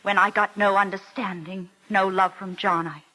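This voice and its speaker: A woman's voice: medium pitch, level tone, moderate pace, pushed and bright, poor audio. A woman playing a proud, bitter, wronged wife in a 1940s radio drama, with intense, theatrical delivery. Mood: bitter, confessing.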